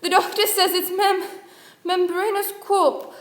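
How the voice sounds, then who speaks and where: A woman acting as a loyal, quick-witted young lady of a royal court in a radio play. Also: very high voice, little pitch movement, reverberant. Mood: frightened.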